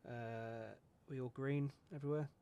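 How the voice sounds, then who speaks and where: Chatty gravelly-voiced man talking flight sim. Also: medium pitch, fairly even intonation, moderate pace, mellow, dull audio. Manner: chatty, swears, rambling, self-mocking. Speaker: flight sim video host. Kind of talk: casual talk over a flight sim checklist.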